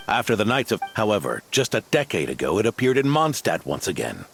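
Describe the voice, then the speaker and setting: An old knight from a video game, weathered and solemn with a wry streak. The voice is very deep and gravelly, moving expressively, over faint music. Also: male voice, huge pitch swings, fairly quick, a bit bright, a little hiss or hum, full character voice.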